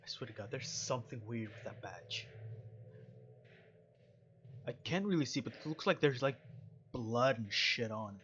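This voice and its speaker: A man's voice: medium pitch, lively intonation, a little slow, pauses often, a bit bright, highs cut off, a little hiss or hum. A man doing casual, rambling let's-play commentary, reading game dialogue aloud and adding jokey asides. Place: at home.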